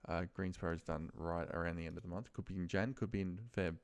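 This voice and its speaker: A man thinking aloud in a muttered, pausing way as he talks through spreadsheet work on his computer. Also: deep voice, fairly even intonation, smooth. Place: at a computer desk, indoors.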